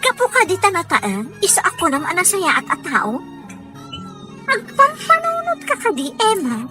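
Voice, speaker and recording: A scolding, skeptical young-sounding friend played by an actress in an audio drama. Her pitch is high and swoops about in a lively way, over clear background music. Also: moderate pace, full character voice. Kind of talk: acted dialogue in an audio drama.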